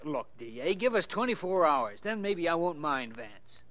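An actor playing a grumbling, sarcastic police sergeant in 1940s radio drama style. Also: male voice, fairly high pitch, sing-song, moderate pace. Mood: exasperated. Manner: gruff, sarcastic. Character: grumbling, sardonic male police homicide sergeant. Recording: dull old radio recording.

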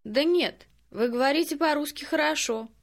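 A woman with a high voice reads model phrases for learners. She says each line slowly and deliberately, clearly pronouncing every word. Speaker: native speaker in language drills. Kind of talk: slow, careful model phrases.

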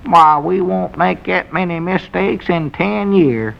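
An actor playing a simple-minded, suspicious old country storekeeper in an old-time radio comedy, with a rural Southern accent and querulous, naive talk. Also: male voice, high voice, a little slow, creaky, exaggerated voice. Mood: suspicious grumbling. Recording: muffled vintage radio recording.

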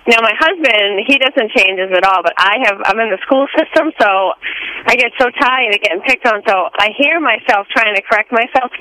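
A woman's voice: fairly high pitch, brisk, bright, forceful tone, poor audio. A woman with a Boston accent calling a radio show, chatty and laughing at herself. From Boston South Shore. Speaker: caller. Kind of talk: phone-in chat.

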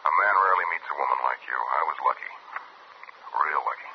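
A man's voice: a bass voice, fast talker. An American actor playing a suave, earnest, placating man in a vintage radio drama. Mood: earnest, pleading. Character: suave, placating male writer, ex-boyfriend. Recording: muffled, noisy vintage radio recording.

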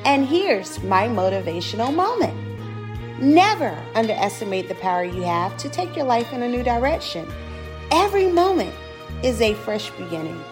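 A woman hosting a podcast, bubbly and exclamatory, laughing easily between thoughts. Her voice sits a little high and swings widely in pitch. Faint music plays behind her. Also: big pitch swings, moderate pace. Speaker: podcast host. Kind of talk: casual interview chat.

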